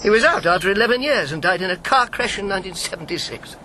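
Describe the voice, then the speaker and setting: An actor playing an urbane, needling elderly former secret agent in a radio drama, articulate and teasing. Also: male voice, high voice, expressive, fast talker, bright, forceful tone, slight background noise. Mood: grave, informative. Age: 70s or older.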